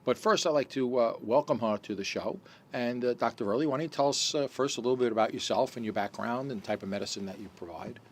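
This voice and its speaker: A man's voice: mid-range voice, fast talker, slight background noise. A man hosting a radio health talk show, asking rambling questions with many uhs. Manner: friendly, rambling questions with fillers. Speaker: radio show host, doctor.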